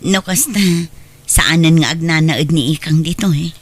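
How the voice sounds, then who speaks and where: A woman's voice: sits low, unhurried. A woman playing an emotional, long-suffering mother full of hurt in an audio drama. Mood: remorseful, sorrowful. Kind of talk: Ilocano audio drama acting.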